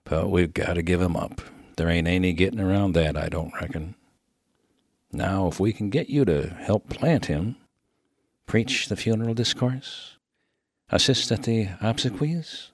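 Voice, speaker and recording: A man voicing a rough, good-natured Old West miner with a rustic American accent and a slangy, emphatic way of talking in a humorous story. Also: sits low, a little slow, dry recording, clean studio sound. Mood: earnest, coaxing. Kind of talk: dramatic reading of a humorous story.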